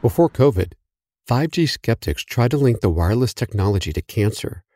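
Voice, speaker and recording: A man hosting a news podcast, narrating a script in a measured, reflective way and putting questions to a guest. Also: medium pitch, very animated, warm tone, slight background noise. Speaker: podcast host. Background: music bed.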